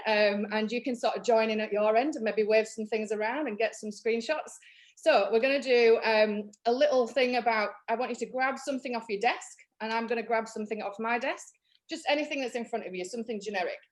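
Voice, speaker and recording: A woman from Leeds with a Yorkshire accent, running a marketing workshop on a webinar in a chatty, practical way, with frequent ums and you-knows. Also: fairly high pitch. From Leeds, England. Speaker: marketing trainer.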